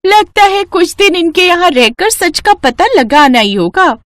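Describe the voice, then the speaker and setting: A sly, greedy young aunt from a cartoon story, scheming and suspicious, with a high voice that leaps around a lot, as a woman puts her on. Her talk is dry and close, with no room around it. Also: very animated, unhurried, fairly bright tone, dry recording, full character voice. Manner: suspicious, sly, feigns innocence. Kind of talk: cartoon voice acting.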